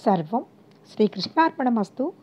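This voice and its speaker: A woman's voice: a little high, big pitch swings, normal speed, darkish tone, breathy edge. A woman narrating a scripted moral story as an audiobook storyteller, on a lo-fi recording. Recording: dull, lo-fi voice recording.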